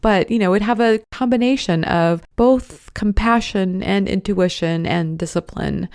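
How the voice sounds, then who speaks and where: A woman's voice: mid-range voice, measured pace, a little mellow, clear, resonant tone. A woman hosting a podcast, chatty and upbeat, with lots of casual asides. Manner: chatty, upbeat, many fillers like "you know". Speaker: podcast host. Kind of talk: podcast intro and interview.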